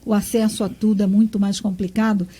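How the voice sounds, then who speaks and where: Woman, from Brazil, radio host, interview, effusive, mellow dark voice. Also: medium pitch, flat delivery, brisk, slightly rough. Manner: warm, effusive.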